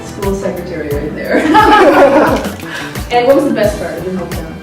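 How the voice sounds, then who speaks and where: A young woman, interviewing casually with upbeat, quick reactions. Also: mid-range voice, sing-song, a crowd in the background. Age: young adult. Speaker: student interviewer. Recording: echoey room, distant mic, music behind.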